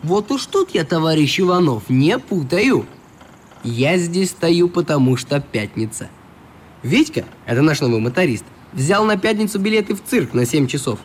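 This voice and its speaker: A man's voice: on the high side, sing-song, moderate pace, a little hiss or hum. A man playing a cheerful, plain-spoken young riverboat captain in a radio play, teasing in colloquial speech. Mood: teasing, good-natured.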